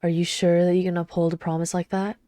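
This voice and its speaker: A woman acts out a reserved knight in a fantasy ASMR roleplay. Her voice is deep and velvety, recorded close and dry, with formal, wry restraint. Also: little pitch movement, fast talker, warm tone, dry recording, lightly acted. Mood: wry, restrained. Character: reserved, dutiful female knight, dryly scolding royal lover.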